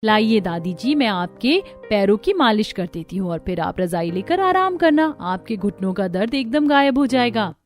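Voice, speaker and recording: A woman's voice: mid-range voice, big pitch swings, moderate pace, few pauses, soft background music, close to an everyday voice. A woman voicing a chatty, self-assured young daughter-in-law in a Hindi cartoon family story, speaking expressively. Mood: helpful.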